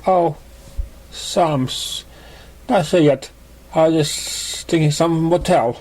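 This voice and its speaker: A shy young stallion from a pony storybook, played by a man, talks in a raised pitch. He is awkward and self-conscious, close to an everyday voice. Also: takes it slowly, darkish tone. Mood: hesitant.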